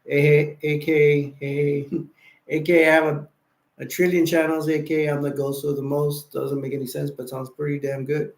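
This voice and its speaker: A tired, sleepy man hosting a casual late-night live stream, rambling and giving viewers shout-outs. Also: on the high side, little pitch movement, normal speed. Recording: echoey room.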